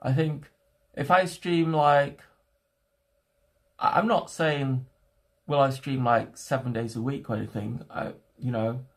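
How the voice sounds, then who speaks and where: A young man whose first language is not English, chatting casually to his livestream viewers from home and thinking aloud in halting, restarting phrases. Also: medium pitch, fairly even intonation. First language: a home language other than English. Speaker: streamer.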